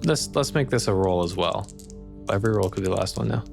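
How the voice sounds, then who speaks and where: A man with a lowish voice and plenty of vocal fry runs a tabletop game. He narrates casually and puts questions to his player over faint guitar music. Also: expressive, fast talker. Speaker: game master.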